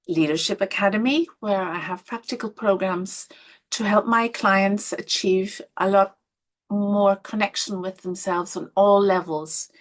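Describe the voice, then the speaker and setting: A middle-aged woman with an Irish accent, hosting a live online webinar and encouraging participants with gentle, soft-spoken asides. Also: medium pitch. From Ireland. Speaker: webinar host and women's coach.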